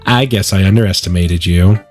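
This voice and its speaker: A man's voice: sits low, fairly quick, darkish tone, soft background music, voice pushed down, close to an everyday voice. A man voicing an upbeat, encouraging teenage schoolgirl club president in a visual novel, confident and reassuring.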